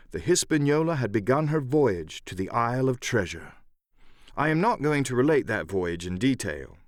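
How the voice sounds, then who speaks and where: A man reads an adventure story aloud as an audiobook narrator. His pitch moves expressively with a theatrical storyteller's delivery, and the sound is dry and close. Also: no echo. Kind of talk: dramatic scripted narration.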